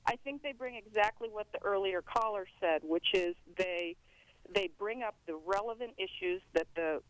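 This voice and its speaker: An American woman phoning in to give an earnest, articulate political opinion, measured and searching for words. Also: medium pitch, no room sound. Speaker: phone-in caller. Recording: dry telephone line.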